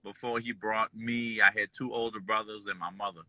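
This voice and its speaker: A man born in Kuwait and raised in Detroit, telling his life story as an interview guest in a relaxed, rambling way full of fillers. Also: lowish voice, brisk, no room sound, poor audio. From born in Kuwait, raised in Detroit and New Orleans. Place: at home, just pulled into driveway.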